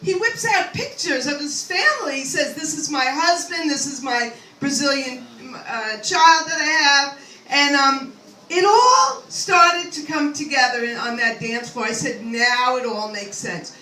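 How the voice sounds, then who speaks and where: A woman's voice: sits high, animated, measured pace, bright, forceful tone. An older woman doing a rambling, self-deprecating stand-up comedy set and chatting with the audience. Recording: roomy, distant mic, faint noise.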